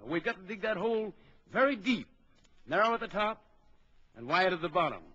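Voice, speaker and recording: An actor playing a bold big-game trapper in an old children's adventure record, briskly instructing and giving orders. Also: male voice, high-pitched, expressive, sharp tone, exaggerated voice. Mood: confident, instructive. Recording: dull old record with background crowd noise.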